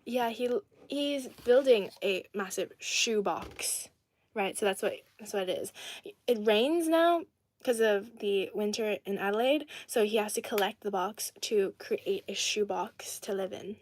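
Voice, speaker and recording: A woman's voice: medium pitch, takes it slowly, dry recording. A young woman from Ireland hosting a casual livestream, reading viewer comments aloud and answering them in a chatty, giggly way. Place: indoor home setting in Adelaide.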